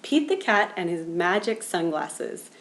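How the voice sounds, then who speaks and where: A woman's voice: on the low side, very animated, measured pace. A woman narrating a children's picture book aloud. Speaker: storybook reader.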